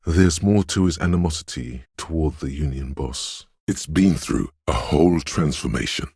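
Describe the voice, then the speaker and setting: A man voicing a detective's brooding, mystical intuition in a video game, with a deep, gravelly voice and slow, ominous, dreamlike delivery. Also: lively intonation, darkish tone, no echo, studio quality, heavily acted. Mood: foreboding. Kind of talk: scripted video game narration in second person.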